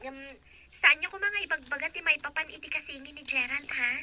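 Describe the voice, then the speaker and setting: Loyal young daughter played by a woman, Ilocano accent, high-pitched, sharp bright tone, radio drama. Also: a little fast, a little hiss or hum. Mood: pleading.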